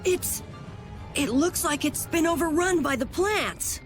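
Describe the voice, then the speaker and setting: A woman's voice: very high-pitched, unhurried. A woman voicing an eager, earnest young boy in a video game. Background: game music.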